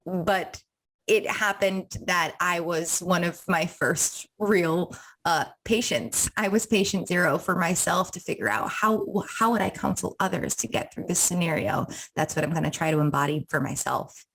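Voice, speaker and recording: A woman's voice: on the low side, normal speed, creaky, fairly bright tone, slightly rough. A young woman from Rhode Island, a counselor, talking thoughtfully as an interview guest with many ums. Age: young adult. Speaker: counselor and wellness coach.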